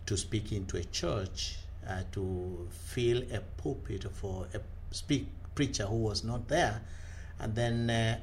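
A middle-aged man from Kenya with a Kenyan accent, a guest telling his life story in an interview, earnest and halting with many hesitations. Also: fairly low pitch, a little mellow, a little hiss or hum. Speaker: guest, leadership trainer. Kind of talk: conversational interview.

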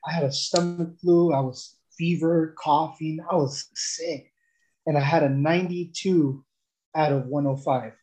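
Mellow-voiced man telling his story. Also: fairly high pitch, fairly even intonation, measured pace, low-quality recording. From El Salvador. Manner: rambling, with many fillers and restarts. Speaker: guest giving a testimonial. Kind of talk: casual testimonial chat.

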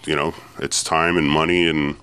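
Man, very deep voice, rambling restarts, casual podcast talk, smooth resonant tone. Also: level tone, sharp tone, no echo. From Akwesasne. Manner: rambling, halting, many restarts. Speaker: podcast guest, former bouncer.

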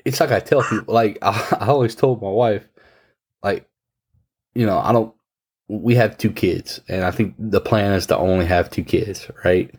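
A man's voice: on the low side, fairly even intonation, moderate pace, darkish tone, slightly rough. A man in his thirties in casual conversation, giving frank, blunt advice and swearing now and then. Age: 30s. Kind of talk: casual chat.

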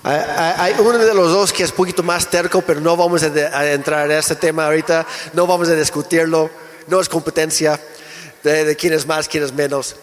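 High-voiced man preaching in an echoey hall. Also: a little fast. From Canada. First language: English. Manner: relaxed, joking, with restarts. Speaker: pastor. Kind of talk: sermon. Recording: echoey hall with long reverb.

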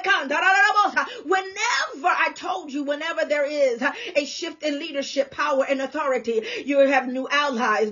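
A woman's voice: high-pitched, a bit bright. A woman preaching a fervent charismatic sermon in an emphatic, repetitive, exclamatory manner, with frequent interjections. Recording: dull, lo-fi audio.

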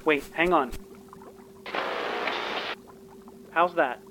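A man's voice: normal speed, lightly acted. A man playing a dry, practical starship engineer in an audio drama, wry and conversational. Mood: checking comms. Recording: faint ship rumble behind.

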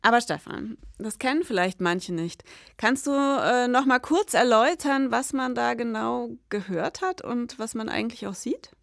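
A woman's voice: fairly high pitch, animated, normal speed, vocal fry, fairly bright tone, dry recording, clean studio sound. A woman in her forties co-hosting a podcast, mixing scripted narration with casual conversation. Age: 40s. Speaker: podcast co-host.

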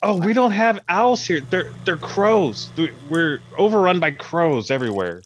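A man's voice: high voice, animated, a little slow, muffled recording, background noise. A man playing a condescending boss at a wizard outpost in an improvised comedy sketch, stammering and repeating himself sarcastically. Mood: sarcastic.

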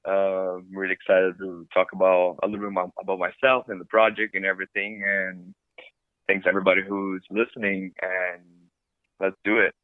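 A man from Brazil with a Brazilian Portuguese accent, a film director being interviewed, talking in a playful, animated way with halting restarts. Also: sits low, no room sound. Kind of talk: casual interview chat. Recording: dry tinny phone-like line.

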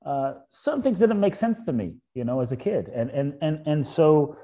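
A middle-aged man from Ghana, a guest author speaking in a thoughtful, halting way about spiritual ideas on a podcast. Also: a little high, big pitch swings, mellow. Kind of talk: reflective podcast conversation.

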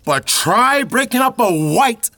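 A man's voice: extremely high pitch, lively intonation, takes it slowly, pushed and bright, no room sound, heavily acted. A man playing a sarcastic young farmer. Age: young adult. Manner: sarcastic, biting retorts.

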